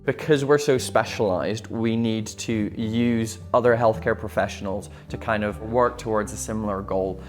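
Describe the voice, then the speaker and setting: A man who grew up in Malawi, talking about his work with relaxed, explanatory speech and some fillers. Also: fairly low pitch, moderate pace, few pauses, faint music behind.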